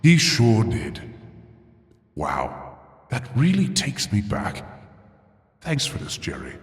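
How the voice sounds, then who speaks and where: An actor playing a gloating dark sorcerer in a comedic fantasy audio drama, grandiose and menacing yet oddly chatty. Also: male voice, lowish voice, wildly swooping pitch, slow pace, full character voice. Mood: nostalgic, amused. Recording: long hall reverb with faint music behind.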